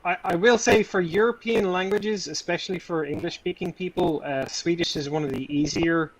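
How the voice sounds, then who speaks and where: A man's voice: high-pitched, steady tone, a crowd in the background, rough recording. A man with an Irish accent, chatting on a stream and stumbling over his starts. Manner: halting, stumbling restarts. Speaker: guest on a stream. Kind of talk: casual chat.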